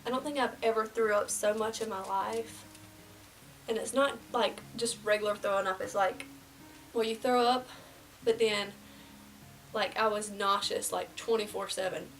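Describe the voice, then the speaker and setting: A young woman in her twenties, slightly nasal from a cold, chatting casually through a get-ready-with-me beauty vlog and dropping to soft half-whispers as she works. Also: mid-range voice, steady tone, normal speed, far from the mic, soft background music, poor audio. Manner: casual and rambling, drops to near-whispers while applying makeup. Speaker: beauty vlogger. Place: small indoor room before a plain backdrop.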